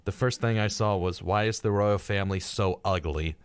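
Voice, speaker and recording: A man's voice: deep voice, normal speed, clean tone, no echo. A man co-hosting a casual podcast discussion, reading out facts in a dry, dismissive way. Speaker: podcast co-host.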